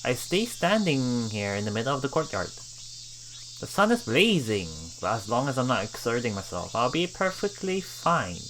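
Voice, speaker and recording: A woman doing a visual novel let's play, reading the text aloud and adding playful, expressive casual commentary. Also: very deep voice, normal speed, slight background noise, rough recording.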